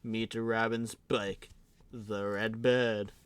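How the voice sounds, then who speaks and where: A man's voice: on the low side, flat delivery, drawn-out words, fairly bright tone, clear, resonant tone. A man narrating an audio drama in a theatrical announcer style, slipping into offhand comic asides. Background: music.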